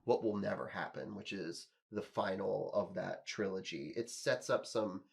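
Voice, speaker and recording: A man's voice: fairly low pitch, moderate pace, vocal fry. A man hosting a podcast, casually giving his opinions and reasoning through them at length. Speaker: podcast host.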